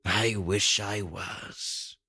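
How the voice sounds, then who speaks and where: Man acting cagey male stranger, horror audio drama, deep pitch, slow terse delivery, guarded, ominously calm. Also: slightly rough, exaggerated voice. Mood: terse, reluctant. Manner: terse, guarded, weighted words. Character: cagey, eerily calm male maintenance worker, tense and secretive.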